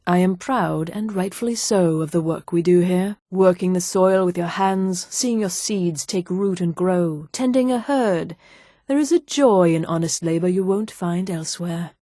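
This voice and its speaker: A woman voicing a haughty, refined high elf townswoman in a video game, with precise, polished, condescending delivery. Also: moderate pace, darkish tone, dry recording, clean studio sound, natural voice. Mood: proud, earnest. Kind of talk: scripted game dialogue.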